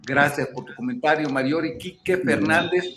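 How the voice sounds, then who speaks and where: A man hosting a live interview, greeting viewers and asking questions with halting restarts. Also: a little high. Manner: warm greetings, halting restarts, repeated words. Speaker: host. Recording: roomy sound with clear background noise.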